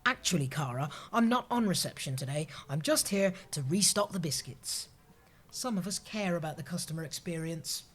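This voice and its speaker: A woman's voice: low voice, lively intonation, normal speed. A woman playing an earnest, disapproving, rule-abiding office colleague in an audio sitcom, prim and wordy. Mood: indignant.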